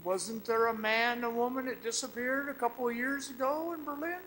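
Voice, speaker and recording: An actor playing a sinister storyteller devoted to an underground fire cult, confiding and taunting in a radio drama monologue. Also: male voice, extremely high pitch, a little fast, a little hiss or hum, poor audio. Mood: insistent, confiding. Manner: menacing, taunting, confiding, addressing the listener. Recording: loud music bed under the voice. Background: loud dramatic music.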